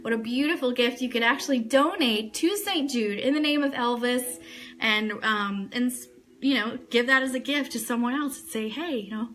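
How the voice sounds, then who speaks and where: Female online radio host, bright and fairly high, talking informally and directly to a live audience of viewers. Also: moderate pace. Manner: chatty, addressing viewers and reading their comments. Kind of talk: casual livestream chat with news items.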